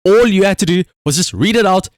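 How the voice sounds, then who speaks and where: Animated man, close dry sound. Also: high voice, fairly quick, dry recording. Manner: conversational storytelling, rhetorical asides. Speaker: livestreamer. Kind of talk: casual livestream commentary.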